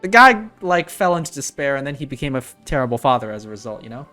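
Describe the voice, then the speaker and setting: A woman's voice: extremely low pitch, very animated, fast talker, bright, forceful tone, soft background music. A woman reacting to a game in a casual, slangy, exclamatory way. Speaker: reaction video host.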